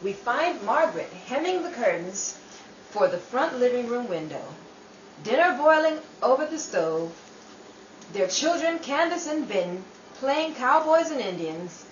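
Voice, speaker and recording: A woman playing a chatty, playful host introducing a theatrical 1930s radio show, with dramatic pauses. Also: on the high side, sing-song, roomy sound, far from the mic, lo-fi sound, slight background noise. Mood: playful, teasing.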